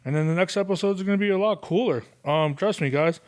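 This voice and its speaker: A man's voice: sits high, fast talker. Rambling, profane man hosting a podcast. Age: 30s. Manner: rambling, profane, self-mocking, with fillers. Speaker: podcast host. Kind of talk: casual solo podcast monologue.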